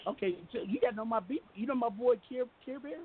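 A man with a high voice hosts a call-in radio show, rattling along at a quick clip and cutting in on his caller. He sounds thin and phone-like, with a faint hiss behind him. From US West Coast. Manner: fast, joking, talks over the caller. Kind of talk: call-in talk radio chat. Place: home studio in the Southwest.

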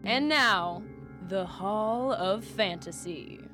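A woman playing the solemn, ominous host of a supernatural radio series, measured and theatrical. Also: medium pitch, close to an everyday voice. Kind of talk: old-time horror radio introduction. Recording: loud music bed over voice. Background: music.

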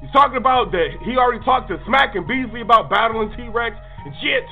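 A man giving an excited reaction commentary in hyped, rambling slang. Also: very high voice, a little fast, soft background music. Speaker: video commentator. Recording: lo-fi played clip.

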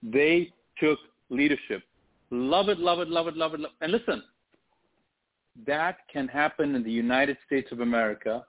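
A man from Zambia co-hosting a live radio talk show, speaking emphatically and repeating words as he builds his points. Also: moderate pace. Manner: emphatic, repeats words, breaks into high mimicry. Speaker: radio co-host. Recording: tinny phone-like line.

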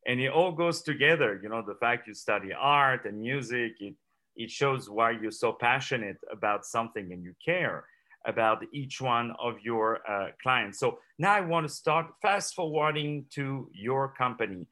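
A man's voice: medium pitch, lively intonation, normal speed, pushed and bright. A man hosting a radio interview, effusive and formal, greeting the audience like an announcer. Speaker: interview host.

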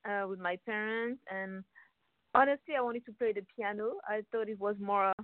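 A young woman with a French accent talks in careful, halting English, often restarting and hunting for the right word. The sound is a thin, tinny phone line, dry and close, with her voice sitting at an ordinary middle pitch. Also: no echo. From France. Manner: hesitant, searching for words, many restarts. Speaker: violinist guest. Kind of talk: phone interview.

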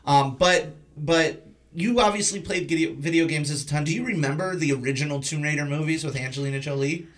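Male podcast host, slightly high voice, informal and blunt, chatting casually about films. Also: a little fast. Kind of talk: casual film discussion.

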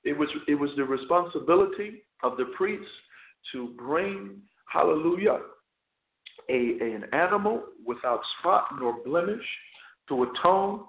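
A man preaching a radio sermon as chaplain and host, hoarse and losing his voice, often stopping to restart or repeat words. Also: a little high, expressive, unhurried. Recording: tinny phone line, echoey.

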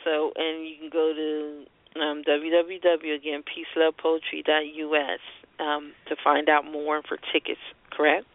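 A woman's voice: deep voice, monotone, measured pace. A woman hosting a conversational call-in talk show, giving advice and announcements over a phone line. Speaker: radio show host and marketing strategist. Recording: muffled, band-limited phone-line internet radio.

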